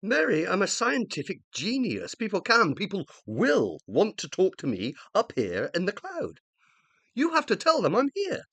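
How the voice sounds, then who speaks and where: A self-important male scientist in a radio play, acted by a man, uploaded after death and very proud of it. His delivery is theatrical and extremely animated, with wide leaps of pitch. The sound is close and dry, and his pompous tone tips readily into indignation. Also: moderate pace, no echo, heavily acted. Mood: indignant, insistent. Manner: pompous, theatrical, easily exasperated. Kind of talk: radio play acting, monologue and dialogue.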